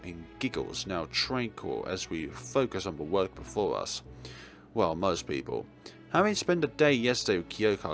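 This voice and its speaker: A man hosting a let's play, reading dramatic narration aloud with casual, jokey asides. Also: on the low side.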